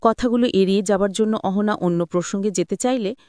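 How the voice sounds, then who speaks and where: A woman's voice: mid-range voice, a little fast, dark tone, dry recording, studio quality. A woman storyteller reading a dramatised audio story as scripted narration.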